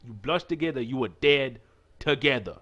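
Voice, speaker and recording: A man's voice: mid-range voice, normal speed, fairly bright tone, highs cut off. A man hosting a visual novel playthrough, reading aloud and breaking into casual, jokey, sweary asides. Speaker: let's play host.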